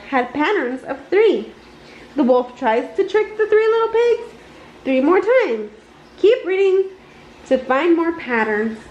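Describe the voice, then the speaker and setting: High-voiced woman reading a children's story aloud. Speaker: picture book reader. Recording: roomy, dull home recording with noise.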